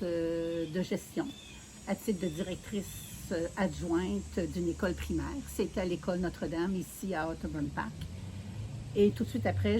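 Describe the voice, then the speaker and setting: A woman in her sixties from Quebec City with a Quebec French accent, calmly telling her career story in an interview, with many filler pauses. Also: on the low side, slight background noise. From Quebec City, Canada. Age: 60s. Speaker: mayoral candidate being interviewed.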